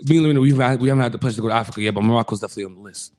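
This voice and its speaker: Fast-talking playful male host. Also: mid-range voice, darkish tone. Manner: playful, slangy, joking. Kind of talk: casual talk show banter.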